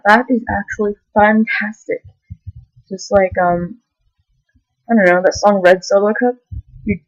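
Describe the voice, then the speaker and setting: A woman's voice: medium pitch, no room sound, muffled recording. A young woman vlogging to her camera, rambling loosely with lots of fillers and bursts of enthusiasm. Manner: meandering, filler-heavy, giggly enthusiasm. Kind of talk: rambling casual vlog. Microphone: camera mic.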